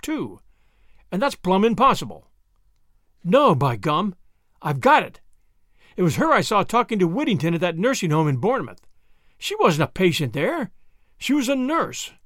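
A man voicing a brash, excitable young American in an audiobook, with slangy, blustering exclamations and an American accent. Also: high voice, no room sound, clean studio sound. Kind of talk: audiobook character voice.

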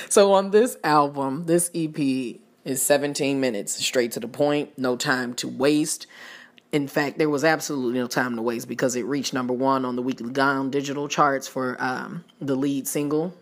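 A podcast host with a husky, worn-out voice chatting through an album review, laughing often and talking straight to listeners. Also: medium pitch, steady tone, normal speed.

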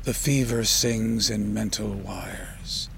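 Male poetry reader, solemn and unhurried, gravelly with breathy endings, measured dramatic delivery over faint ambient noise. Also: lowish voice, steady tone. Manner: measured, solemn, with deliberate pauses. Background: ambient sound design.

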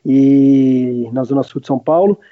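A man in his late thirties from Argentina with a Brazilian accent, chatting casually and haltingly as an interview guest, often backtracking mid-sentence. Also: medium pitch, dry recording. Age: late 30s. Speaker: podcast guest, restaurant owner. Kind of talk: casual chat. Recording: roomless dry, slightly dull lo-fi sound.

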